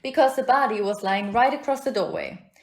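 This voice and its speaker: A woman's voice: fairly high pitch, fairly quick, a little mellow, echoey room, natural voice. A woman playing a feisty, unflappable single hotel resident in an audio play, speaking in a brusque, plain-spoken, indignant way. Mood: defensive, indignant.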